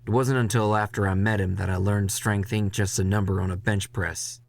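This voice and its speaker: A man reading a dramatic first-person horror story in a measured, intense way, with music behind him. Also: fairly low pitch, steady tone, gravelly, dry recording.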